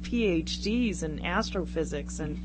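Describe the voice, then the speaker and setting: A woman's voice: fairly low pitch, lively intonation, a little slow. A woman chatting casually on a radio talk show, rambling and self-deprecating as she goes. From Brockton, Massachusetts, United States. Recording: very noisy radio recording with hum.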